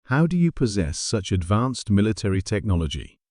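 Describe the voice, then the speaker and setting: A man's voice: on the low side, sing-song, brisk, darkish tone, no room sound, clean studio sound. A man voicing an awed crystalline alien ambassador, formal and disbelieving, in a science fiction story reading. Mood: wary disbelief.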